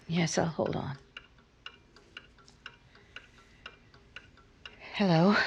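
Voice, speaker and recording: Female actor for lonely, proud woman in 1950s radio romance. Low register, pointed and measured, guarded edge. Noisy recording. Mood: guarded, uneasy. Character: guarded, bitterly proud lonely woman in a late-night affair.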